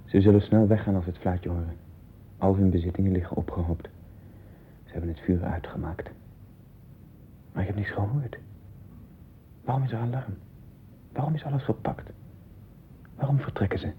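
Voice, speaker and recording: Actor as a haunted low-voiced man, muffled recording. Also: normal speed, long pauses, lightly acted. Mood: anxious, abandoned. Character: haunted, deluded man watching imagined dwarfs leave. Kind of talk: 1960s Dutch radio drama monologue. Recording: muffled, noisy 1960s radio recording, low rumble.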